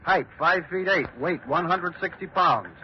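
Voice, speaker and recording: A man's voice: high-pitched, a little slow. A man acting as a solemn studio announcer in a 1940s radio drama, reading bulletins in a clipped, dramatic way. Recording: muffled, hissy 1940s radio recording.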